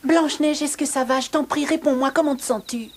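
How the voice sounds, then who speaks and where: An actress voicing an eager cartoon companion who pleads and cries out. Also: female voice, high-pitched, a little fast, a little hiss or hum, lightly acted. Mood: pleading. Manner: excited, pleading.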